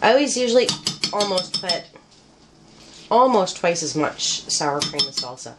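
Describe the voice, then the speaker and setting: A woman's voice: lowish voice, very animated, normal speed, background noise. Chatty middle-aged woman cooking at home. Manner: chatty and joking, rambling with fillers. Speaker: home cook demonstrating a recipe. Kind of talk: casual cooking demo. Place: home kitchen indoors. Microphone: handheld camera mic nearby. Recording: home video with kitchen clatter. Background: pans and cutlery clatter.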